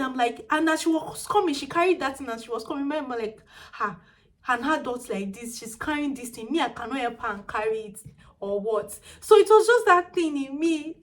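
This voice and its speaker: A woman's voice: high voice, fairly bright tone. A young woman in her twenties with a Nigerian accent and a small, tiny-sounding voice, chatting animatedly in a casual vlog and slipping in pidgin phrases. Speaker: vlogger.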